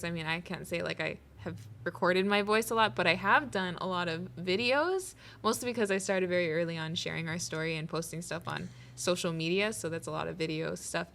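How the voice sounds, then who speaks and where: A woman with a fairly low voice co-hosts a relaxed podcast. She is chatty and supportive and laughs easily. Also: vocal fry, a little hiss or hum. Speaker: podcast co-host. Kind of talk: casual podcast chat.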